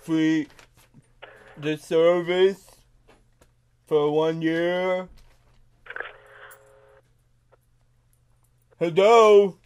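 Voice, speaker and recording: Man putting on a very slow childlike man. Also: a little high, fairly even intonation, a little mellow, slight background noise. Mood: puzzled, insistent. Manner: slow, halting, repeats words insistently. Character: childlike, confused, easily upset grown man. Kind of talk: prank phone call.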